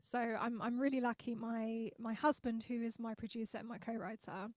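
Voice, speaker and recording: A young woman songwriter answers interview questions from her home studio. Her voice sits a little high and sounds dry and close on a studio mic. She laughs often and sounds grateful. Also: steady tone, fairly quick, darkish tone, dry recording. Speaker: singer-songwriter guest. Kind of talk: casual interview chat.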